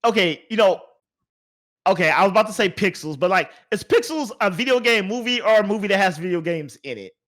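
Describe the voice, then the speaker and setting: A man's voice: high-pitched, animated, a little fast, fairly bright tone. A man hosting a podcast, chatting casually about movies in an informal, emphatic way. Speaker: podcast host.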